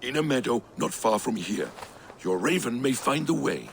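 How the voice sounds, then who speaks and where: An actor playing a sly trickster god in a video game, probing and insinuating with feigned innocence. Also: male voice, medium pitch, very animated, moderate pace, vocal fry, slight background noise. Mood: smooth, sly. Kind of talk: video game cutscene acting.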